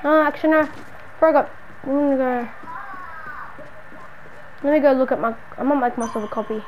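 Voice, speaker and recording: Woman acting as exasperated game roleplay character, high voice, slangy muttering and scolding, noisy home recording. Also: a little fast, highs cut off, close to an everyday voice. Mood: casual muttering. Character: exasperated city dweller hounded by a stalker friend. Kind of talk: improvised video game roleplay, casual slangy narration.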